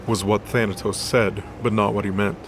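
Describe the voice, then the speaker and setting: A man's voice: on the low side, flat delivery, normal speed, noisy background. A man narrating a scripted dramatic story as the storyteller of an audio drama. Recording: voice over background music.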